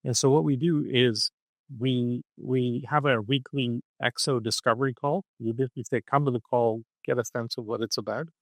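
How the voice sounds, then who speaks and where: A man being interviewed on a podcast, explaining ideas in an animated, conversational way and often checking in with "okay?" or "right?". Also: medium pitch, moderate pace, dry recording, rough recording. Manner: punctuates points with "okay?" and "right?". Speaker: podcast guest.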